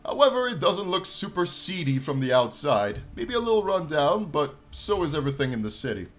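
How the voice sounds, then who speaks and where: A man in his twenties hosting a game playthrough, reading story text aloud with theatrical, drawn-out delivery and casual asides. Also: on the high side, very animated, faint music behind. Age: 20s. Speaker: gaming channel host.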